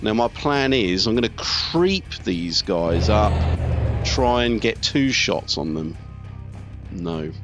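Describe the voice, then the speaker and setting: A man's voice: lowish voice, animated, slow pace. A man giving casual live commentary on a game, thinking aloud as he talks through his tactics. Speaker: let's play host. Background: video game music, artillery fire and vehicles.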